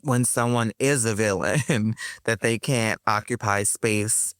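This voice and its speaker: A woman's voice: extremely low pitch, fairly even intonation, takes it slowly, no echo. Thoughtful woman guest, dry close sound. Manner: thoughtful, many fillers and restarts, laughs often. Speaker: interview guest, actor. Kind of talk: podcast interview.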